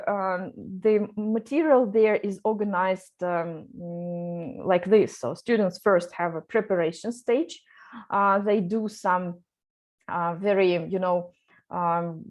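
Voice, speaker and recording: A woman's voice: medium pitch, a little mellow. A woman running an online seminar for teachers, talking with many fillers and restarts. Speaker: teacher trainer.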